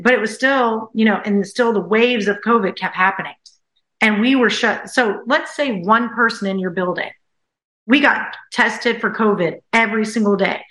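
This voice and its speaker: A woman with a bright, forceful voice tells a personal story as a podcast guest. She talks casually, repeats herself for emphasis, and her voice rings a little in a roomy space. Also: medium pitch. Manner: animated, repeats phrases for emphasis. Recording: echoey room.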